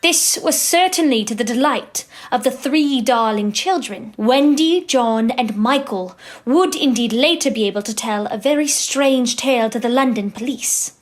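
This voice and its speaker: A woman playing the narrator of a children's storybook tale in an audio drama, with a measured storytelling manner. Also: a little high, close to an everyday voice.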